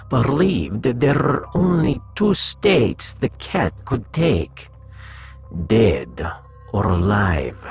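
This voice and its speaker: Evil genius cat, pompous, very slow gravelly voice, audiodrama w music behind. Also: male voice, on the low side, a little mellow, full character voice. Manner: pompous, deliberate, savoring pauses. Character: suave, superior male genius cat, menacingly clever. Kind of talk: science fiction audiodrama acting.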